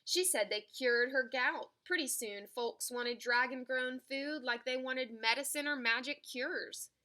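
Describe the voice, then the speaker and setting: A woman reading a children's picture book aloud in an expressive storytelling style. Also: on the high side. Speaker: storybook reader.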